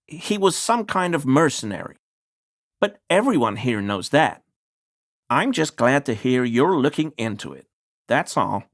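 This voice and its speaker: A grovelling, small-minded townsman from a video game, speaks in a mid-pitched male voice. He is halting and apologetic, forever pleading with authority and eager to appear decent. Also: big pitch swings. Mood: meek, evasive. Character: nervous, grovelling small-time bigoted townsman under police questioning. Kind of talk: scripted video game character dialogue.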